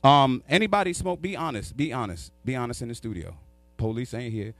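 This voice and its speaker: Loud animated stand-up comedian, man. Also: mid-range voice, a little fast, dry recording. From New York. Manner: loud, animated, shouts punchlines. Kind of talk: stand-up routine. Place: small studio with sponsor backdrop. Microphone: handheld microphone.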